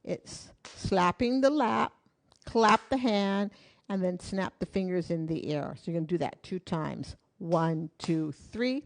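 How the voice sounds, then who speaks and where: A woman's voice: lowish voice, takes it slowly, creaky. A woman teaching a live online hula class from her home in Hawaii, counting beats and talking through each move in a friendly, encouraging way.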